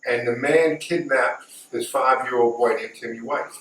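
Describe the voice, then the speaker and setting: A man's voice: medium pitch, steady tone, unhurried, fairly bright tone. A middle-aged man telling a story casually, repeating and recapping for emphasis. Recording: echoey, distant mic, faint noise.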